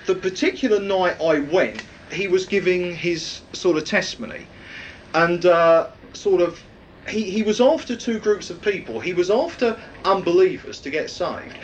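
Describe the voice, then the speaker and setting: A man with a loud, projecting voice, preaching a rambling, anecdotal sermon with many fillers. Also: fairly high pitch, expressive, moderate pace, muffled recording, slight background noise.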